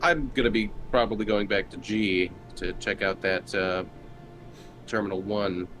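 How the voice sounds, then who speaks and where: A man chatting casually as a player in a tabletop game, with typing and rumble around him. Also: fairly even intonation, unhurried, over music. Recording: clear background noise, rumble, keyboard typing.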